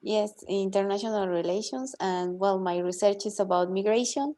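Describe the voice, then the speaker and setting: A young woman with a Mexican Spanish accent introduces herself on a video call. Her voice sits in a middle range, and she pauses to search for English words. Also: mid-range voice, warm tone. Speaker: PhD student participant.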